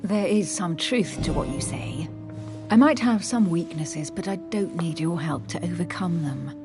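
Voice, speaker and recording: A woman's voice: fairly low pitch, a little slow, over music. An actress playing a proud, guarded young woman agent in a dramatic video game, speaking with cutting, defiant self-assurance. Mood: guarded, cool. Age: young adult. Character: guarded, proud, sharp-witted young female secret agent.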